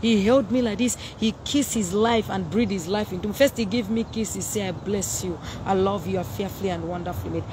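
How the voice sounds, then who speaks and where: A woman's voice: mid-range voice, a little fast, few pauses, noisy background. A woman, preaching fiery and emphatic on a phone livestream outdoors. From Zambia. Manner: fiery, emphatic, jabbing with her hands. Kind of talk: impassioned preaching on a live stream. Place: outdoors by a hedge. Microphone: phone held at arm's length.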